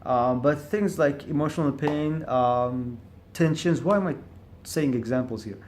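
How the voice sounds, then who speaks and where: A man's voice: fairly high pitch, measured pace, darkish tone, a little hiss or hum. A man talking alone in a reflective vlog, stuttering on words and restarting often as he thinks aloud. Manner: stutters with repeated syllables and restarts; thinks aloud, hesitant and searching. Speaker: vlogger. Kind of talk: reflective monologue to camera.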